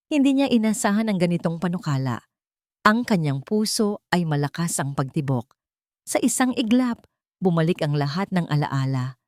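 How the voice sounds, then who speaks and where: Female Filipino audiobook narrator for scripted drama, lower register, close dry sound. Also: a little mellow, no echo.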